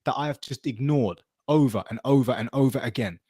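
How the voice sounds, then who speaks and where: A man's voice: medium pitch, a little fast, warm tone, husky, no room sound. Casual British man with a dry, close sound. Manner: emphatic, slangy, swears. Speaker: podcast host; military veteran. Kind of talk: opinionated casual podcast chat.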